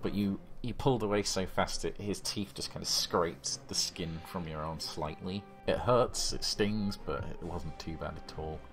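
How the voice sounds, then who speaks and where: A man's voice: deep voice, moderate pace, few pauses. A man narrating a tabletop horror game as game master, in descriptive storytelling. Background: background music.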